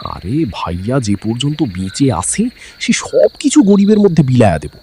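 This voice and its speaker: A man's voice: medium pitch, sing-song, moderate pace, a little mellow, slight background noise, natural voice. A man playing a greedy, resentful younger stepbrother in a cartoon, bitter and conspiratorial. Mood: scheming. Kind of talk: cartoon villain dialogue.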